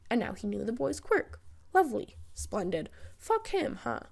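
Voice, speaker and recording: A woman reading fan fiction as a narrator, in an expressive, wry storytelling style. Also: a little high, moderate pace, gravelly. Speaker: podfic narrator.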